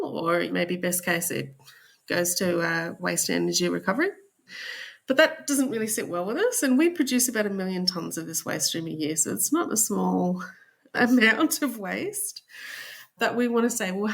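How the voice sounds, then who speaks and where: A woman's voice: medium pitch, creaky, fairly bright tone. A woman with an Australian accent, explaining things conversationally as a guest in a podcast interview.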